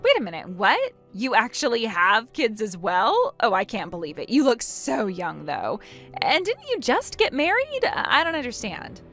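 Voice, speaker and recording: A woman's voice: on the high side, lively intonation, moderate pace, a bit bright, faint music behind. Woman acting out an indignant wife with swooping outrage. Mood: incredulous. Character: indignant, self-pitying young wife who refuses all blame. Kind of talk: acted dialogue in a melodramatic story.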